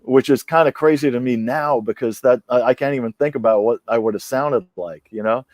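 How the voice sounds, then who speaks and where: A man's voice: medium pitch, a little fast, a little mellow, dry recording. A man talking casually as a guest over a video call, rambling with many fillers and restarts. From born in Iran, raised in England and the US. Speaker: guest musician. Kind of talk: casual interview chat.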